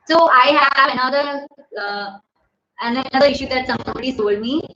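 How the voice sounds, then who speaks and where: Loud, high-voiced Indian woman in an echoey room. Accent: Indian English. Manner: chatty, laughs often, thanks viewers by name. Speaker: livestream host. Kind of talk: casual live Q&A. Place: bedroom at home. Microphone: phone camera at desk distance. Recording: echoey room, dull phone audio, faint hiss.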